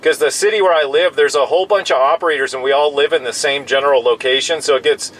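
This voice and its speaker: A man's voice: a little high, steady tone, fast talker, fairly bright tone, slight background noise, rough recording. An American man in casual CB radio conversation, friendly and full of radio jargon. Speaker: radio operator. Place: in a parked vehicle outdoors. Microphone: CB radio microphone.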